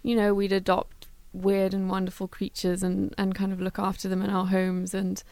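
A woman from Zimbabwe, a guest in a studio interview who talks in an even, unhurried way about her work. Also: mid-range voice, vocal fry, dry recording. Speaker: guest, travel philanthropy worker.

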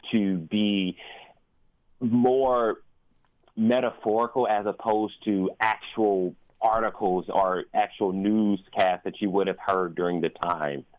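Male interview guest, American, deep voice, reflective searching delivery, close dry sound with slightly muffled top end. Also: no room sound. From United States. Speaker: opera singer and interview guest. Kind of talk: conversational radio interview.

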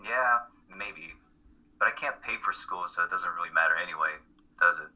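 Man performing earnest college guy, low-pitched, forceful bright edge, husky, blunt, matter-of-fact, play reading. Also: normal speed, full character voice. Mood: dry, defensive. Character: blunt but earnest male college biology student. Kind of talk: dramatic reading of a stage play.

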